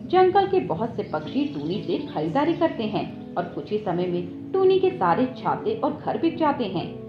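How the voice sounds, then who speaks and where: A woman's voice: medium pitch, sing-song, unhurried. A woman giving scripted narration for a children's moral story. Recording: echoey, dull audio with background music.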